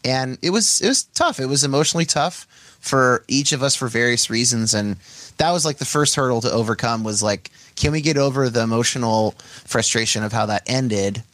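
A man's voice: fairly quick, dry recording. Chatty band guy telling his band's story. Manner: animated, chatty, pitch jumps when quoting. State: has a head cold. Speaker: guest band member. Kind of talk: casual storytelling.

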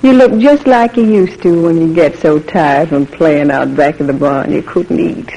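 A middle-aged woman playing a tender, doting mother in an old radio drama, with a soothing, gentle manner. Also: sits low, normal speed, gravelly, low-quality recording. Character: tender, doting mother of a grown soldier son. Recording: old radio recording, faint noise.

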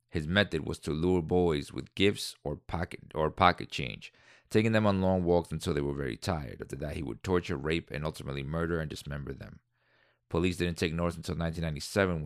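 Deep-voiced man reading and ranting. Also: no echo, studio quality. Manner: indignant, rambling asides with restarts. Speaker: podcast host. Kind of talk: reading aloud and commenting, casual.

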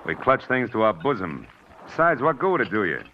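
A man's voice: medium pitch, sing-song, natural voice. An actor playing a cocky, wisecracking male scandal columnist, in 1940s radio acting. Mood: smug. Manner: smug, wisecracking, fast-talking. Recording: dull old radio broadcast, faint hiss.

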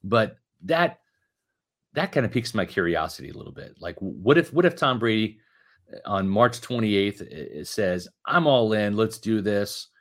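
A man in his fifties talks sports on a podcast in a fairly low voice. He thinks out loud, stumbling and restarting as he muses over ideas. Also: animated, moderate pace. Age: 50s. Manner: musing, thinks aloud with restarts. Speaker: podcast co-host. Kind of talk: casual sports talk.

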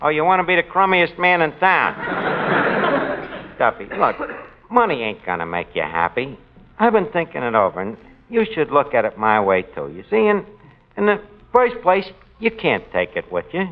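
A wisecracking middle-aged tavern manager who mangles his words and chuckles at his own gags. His voice sits high and swings up and down with wild range. It comes through a muffled, hissy old radio broadcast. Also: sing-song, normal speed. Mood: joking. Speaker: radio comedy lead. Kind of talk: 1940s radio sitcom acting.

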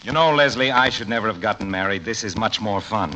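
An actor playing a scheming, genial older colonel with a pompous, blustering manner in a classic radio comedy. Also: male voice, lowish voice, expressive, quick pace, loud music behind the voice, lightly acted. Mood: sly, jovial. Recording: noisy old radio show recording.

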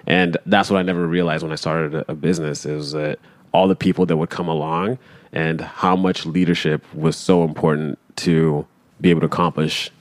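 A man from Kenya, a company founder, talking casually in an interview, relaxed and rambling with fillers and restarts. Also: extremely low pitch, normal speed, no room sound.

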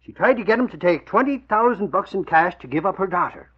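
An actor playing a folksy, excitable male reporter who talks in colloquial slang, in 1940s radio drama style. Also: sits high, fast, breathy edge. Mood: eager reporting. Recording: dull old radio recording.

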